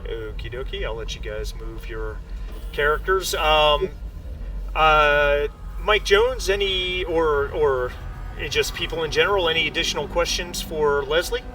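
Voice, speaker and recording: A man acting as game master, improvising tabletop narration in a halting, easygoing way. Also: on the high side, soft background music. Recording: distant mic, clear background noise.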